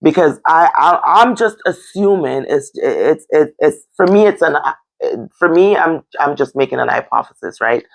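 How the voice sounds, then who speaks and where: A Jamaican woman with a low voice and plenty of gravelly fry hosts a casual discussion. She talks bluntly and emphatically, repeating phrases to press her point. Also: animated, moderate pace, rough recording. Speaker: discussion show host.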